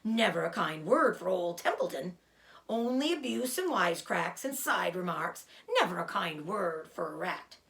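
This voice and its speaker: A woman's voice: on the low side, lively intonation, normal speed, gravelly, fairly bright tone. Woman putting on a sarcastic, grumbling old storybook rat. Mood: sarcastic, disgusted. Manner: mocking, complaining, theatrical. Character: grumbling, sarcastic old male rat, greedy and self-pitying.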